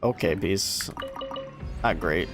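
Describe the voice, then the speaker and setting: A man with a low voice streams a video game. His commentary is casual and wry, mostly asides to himself. Faint music plays behind him. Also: takes it slowly.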